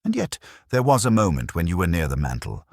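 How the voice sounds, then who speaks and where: A man voices a calm, watchful police inspector in a narrated mystery. The voice is very deep and dry, polite yet firm, with formal wording. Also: a little fast, dry recording, exaggerated voice. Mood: courteous, mild. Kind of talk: acted dialogue in a narrated mystery story.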